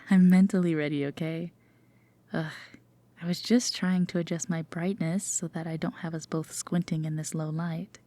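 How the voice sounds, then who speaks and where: A woman playing a gentle, teasing, affectionate girlfriend in an intimate sleep-aid roleplay, with a soft, breathy half-whisper and a tender manner. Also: lowish voice, dry recording. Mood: casual, cozy. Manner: hesitant, tender, gently playful.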